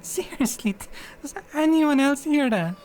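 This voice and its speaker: A woman playing a distressed, defensive murder suspect under questioning in an audio drama, speaking haltingly. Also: high voice, lively intonation, a little mellow, slight background noise. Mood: agitated. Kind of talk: dramatic interrogation acting.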